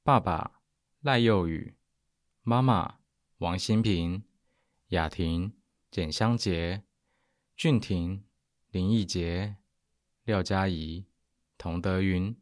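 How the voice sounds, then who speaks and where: A man's voice: low voice, no room sound, studio quality. A man announcing, reading out a list of names from a script.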